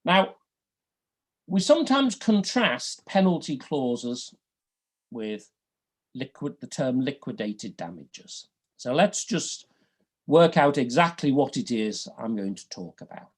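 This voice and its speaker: A man with a Liverpool accent delivers a law lecture at an unhurried pace. His pitch swings widely as he stresses key terms, and he slips in dry jokes. The tone stays measured and academic. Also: big pitch swings. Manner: dry humour, deliberate, rises and falls a lot. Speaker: law professor.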